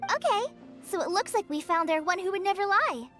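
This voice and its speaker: An actress playing a gentle, nervous, supportive young woman in a video game. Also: very high-pitched, lively intonation, a little fast, faint music behind. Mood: grateful.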